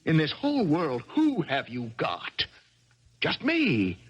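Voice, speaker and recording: A man's voice: a little high, wildly swooping pitch, slow, slightly rough, heavily acted. An actor in a 1970s radio drama playing a desperate embezzler who stammers and pleads insistently with his lover. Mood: coaxing, manipulative. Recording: dull vintage radio broadcast.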